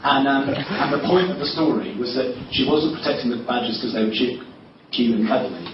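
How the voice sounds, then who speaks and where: A man gives chatty, wry anecdotes as a panel guest, halting and doubling back on his words. His mid-pitched voice comes from a distance in a very echoey room. The sound is dull, with clear noise behind it. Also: expressive. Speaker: guest writer on a convention panel. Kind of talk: anecdotal panel interview talk. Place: indoor convention panel room. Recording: noisy echoey room, distant mic, muffled.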